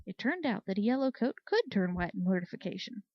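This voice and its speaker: A woman narrating a story in an expressive read-aloud style. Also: mid-range voice, fairly quick, no room sound, dull audio. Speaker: story reader. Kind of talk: expressive audiobook-style narration of fan fiction.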